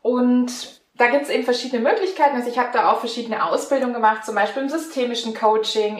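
Chatty Franconian woman, high voice. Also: reverberant. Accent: Franconian German. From Franconia, Germany. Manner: chatty, laughs often, many fillers. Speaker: mental coach and riding technique trainer. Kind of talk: casual interview answers.

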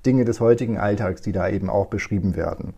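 A man in his forties from northern Germany answers interview questions, thinking aloud as he goes. His voice is low, gravelly and mellow. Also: fast. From East Frisia, northern Germany. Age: 40s. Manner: relaxed, thoughtful, many fillers. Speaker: guest. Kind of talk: conversational interview.

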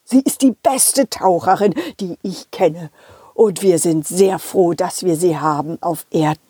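A woman voicing a calm, kindly male police officer in a children's audio play, reassuring and gently instructive. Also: on the low side, a little slow, slightly rough, dry recording, clean studio sound. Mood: grateful, admiring.

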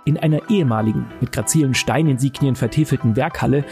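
A man's voice: medium pitch, fast talker, a little mellow, natural voice. Man playing a brooding mercenary narrator over guitar music. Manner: brooding, literary storytelling. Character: weary, bleak male mercenary survivor of underground tunnels. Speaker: narrator of an audio drama. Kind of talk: scripted first-person dramatic narration. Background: guitar music and game sound effects.

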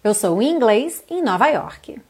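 Brief: woman from Brazil, English teacher, high-ish voice, careful slow reading of lines. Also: sing-song, a little mellow, breathy edge. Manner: reads English lyrics slowly and carefully, then translates. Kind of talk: online language lesson.

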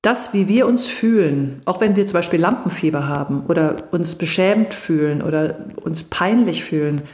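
A middle-aged woman with a slightly low voice talks through stage fright in a calm, explanatory way. She reassures her conversation partner like an experienced coach. The room around her has a noticeable echo. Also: normal speed. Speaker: voice and charisma coach. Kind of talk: podcast conversation. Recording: echoey room.

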